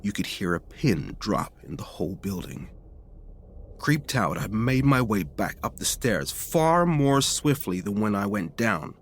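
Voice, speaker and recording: Male reader for scripted spooky narration, dramatic and lively in pitch, slight background noise. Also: unhurried. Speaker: story reader. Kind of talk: scripted first-person ghost story narration.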